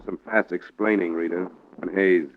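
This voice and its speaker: A man plays a dogged, hard-nosed investigator from 1950s radio drama. His low voice is clipped, blunt and probing. The old recording is tinny, with some faint noise. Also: steady tone, normal speed, close to an everyday voice. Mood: pressing. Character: tough, dogged male freelance insurance investigator. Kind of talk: 1950s radio detective acting and narration.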